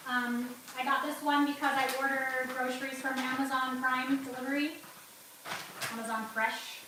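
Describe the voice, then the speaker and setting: A rambling woman talks through her cooking, laughing often and sniffling with a runny nose. Her somewhat high voice is caught from a distance in a very echoey home kitchen, with clear background noise behind her. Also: little pitch movement, normal speed. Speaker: cooking livestream host. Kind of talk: casual cooking livestream chat.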